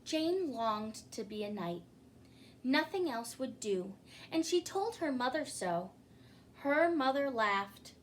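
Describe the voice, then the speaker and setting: A woman reads a children's story at a slow pace in a fairly high voice. Her delivery is expressive, the kind used at story time for young listeners. There is noise on the recording. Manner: expressive, pausing to ask listeners questions. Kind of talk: children's picture book read-aloud. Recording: clearly noisy recording.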